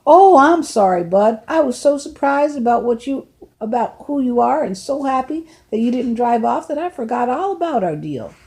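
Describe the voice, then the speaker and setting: A woman's voice: sits high, expressive, normal speed, a little mellow, voice pushed up. A woman reader voicing a jovial, teasing older man, a grandfather, in a folksy, joking way.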